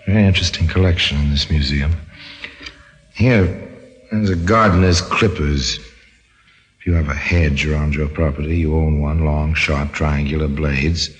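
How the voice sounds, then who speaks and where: A man hosting and narrating an old-time radio mystery, wry and deliberate, with a macabre relish. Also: extremely low pitch, fairly even intonation. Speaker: narrator and host.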